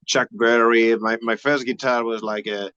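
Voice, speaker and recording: Spanish guy w thick accent, halting english, dull dry recording. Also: mid-range voice, level tone, pushed and bright, clean tone, dry recording. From Spain. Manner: halting, searching for English words. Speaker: musician guest. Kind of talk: casual interview chat.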